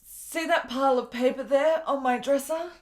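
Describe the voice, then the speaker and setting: An actress playing a gravely ill, melancholy and intense woman in a period radio drama, speaking in deliberate, literary phrases. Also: high-pitched, close to an everyday voice. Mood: weary, tender.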